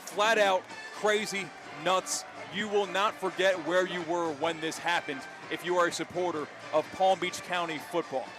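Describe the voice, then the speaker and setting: A man doing excited, emphatic play-by-play football commentary with dramatic phrasing. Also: high-pitched, normal speed, few pauses, music in the background. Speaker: play-by-play sports announcer.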